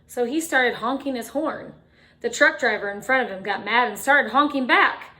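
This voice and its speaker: A woman reading a picture book aloud to children like a teacher, expressively, with dramatic emphasis and chatty asides. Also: fairly high pitch. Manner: expressive, dramatic emphasis, drawn-out refrains, chatty questions to young listeners. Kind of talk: animated children's storytime read-aloud with asides to listeners.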